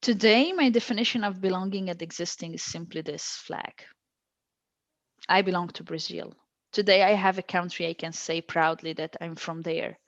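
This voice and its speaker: A woman's voice: on the low side, moderate pace, no room sound, dull audio. Earnest woman. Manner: emphatic, repeats phrases for stress. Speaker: guest, stateless-rights advocate. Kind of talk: earnest interview answers.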